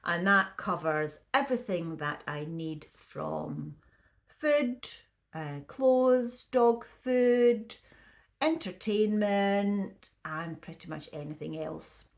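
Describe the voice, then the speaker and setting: A woman, chatting casually to camera as a vlogger, her voice a little croaky and her talk full of restarts and ums. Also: mid-range voice, takes it slowly. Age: 50s. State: slightly croaky voice.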